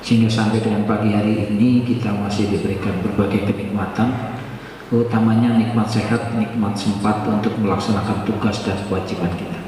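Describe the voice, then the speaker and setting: A man's voice: lowish voice, steady tone, a little fast. A man giving a public speech as an official, often repeating phrases for emphasis. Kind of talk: public speech at a book launch. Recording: very echoey hall, distant mic, faint noise.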